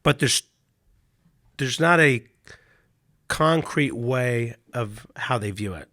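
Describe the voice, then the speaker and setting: A male coach with a hoarse voice from a cold, telling stories in a relaxed chat with frequent restarts. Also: mid-range voice, takes it slowly, dry recording, clean studio sound. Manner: relaxed storytelling with many restarts. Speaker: mental management coach. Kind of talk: casual podcast chat.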